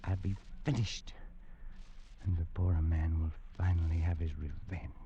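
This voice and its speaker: A man's voice: sits low, level tone, moderate pace, highs cut off. Feverish, tormented radio-drama man. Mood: haunted, brooding. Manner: feverish, theatrical, swinging from hushed to screaming. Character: sick, tormented man haunted by a curse. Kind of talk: 1940s radio drama acting. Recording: noisy vintage radio recording.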